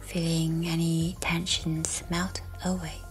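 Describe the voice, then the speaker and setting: A woman leads a guided meditation in a soft, breathy near-whisper. Her delivery is very slow and flat in pitch, soothing and scripted. Music plays behind her voice.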